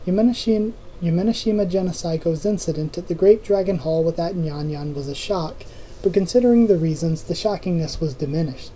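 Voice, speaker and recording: A hoarse host reading a novel aloud in a casual way, often restarting and correcting lines and adding quick asides. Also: mid-range voice, warm tone, a little hiss or hum, low-quality recording.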